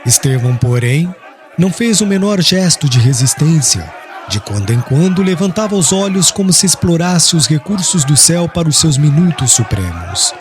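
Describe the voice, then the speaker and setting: Solemn, higher-pitched male audiobook narrator. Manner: solemn, literary delivery. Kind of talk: dramatic scripted narration. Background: music.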